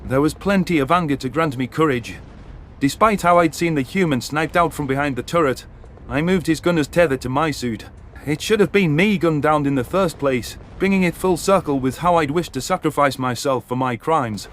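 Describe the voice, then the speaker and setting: Male story narrator, slightly higher-pitched voice. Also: normal speed, slight background noise. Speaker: audiobook narrator. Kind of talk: dramatic first-person scripted story narration.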